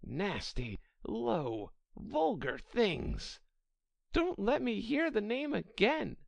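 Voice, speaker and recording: A woman voicing a touchy, trembling male mouse in a children's storybook, shrill, passionate and deeply offended. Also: sing-song, extremely slow, creaky, no echo, full character voice. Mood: outraged, disgusted.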